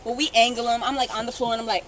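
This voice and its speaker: A woman telling a comic anecdote casually to friends, animated and giggly, acting out the dialogue. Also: a little high, very fast. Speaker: amateur storyteller. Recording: very noisy amateur room recording.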